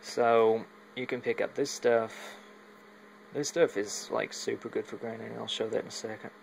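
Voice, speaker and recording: Male hobbyist demonstrator, flat and creaky, halting unscripted delivery, filmed on a phone with faint hum behind. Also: mid-range voice, rough recording. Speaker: hobbyist demonstrating a home radio antenna setup. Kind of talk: casual unscripted walkthrough demo. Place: at home, moving between indoors and outside. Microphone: handheld phone while filming.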